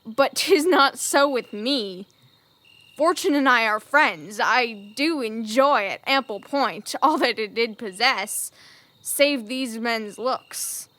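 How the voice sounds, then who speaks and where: A woman's voice: on the high side, takes it slowly, sharp tone. A young woman acting in Shakespearean verse as a proud, aloof male Greek warrior, haughty and declamatory. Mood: wounded pride. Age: young adult.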